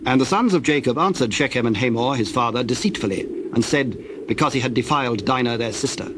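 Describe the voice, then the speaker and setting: A man reads scripture as a solemn narrator, measured and formal. His pitch is in the middle range, and a clear bed of noise with birdsong and cooing doves runs behind him.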